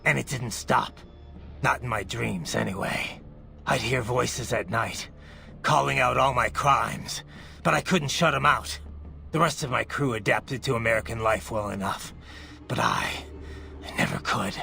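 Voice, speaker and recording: A man voicing a brooding, bloodthirsty cyborg soldier in a video game, with a menacing gravelly growl and intense, clipped phrases. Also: mid-range voice, animated, measured pace, sharp tone, full character voice. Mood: troubled. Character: brooding, bloodthirsty male cyborg soldier, haunted former child soldier. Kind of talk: dramatic video game voice acting. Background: music.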